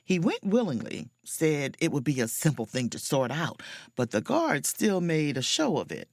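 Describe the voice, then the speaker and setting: A woman playing a wry, self-possessed spaceship officer, narrating her own adventure in an expressive, sardonic audio drama style. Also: deep voice, normal speed, gravelly, dry recording, full character voice. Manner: dry, sardonic, expressive storytelling.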